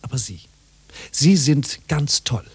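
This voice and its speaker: An actor playing a dryly mocking character who fends off advances in a German radio play, curt and scornful. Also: male voice, mid-range voice, lively intonation, slow, breathy edge, heavily acted. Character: unimpressed, dryly mocking target of a seducer's advances.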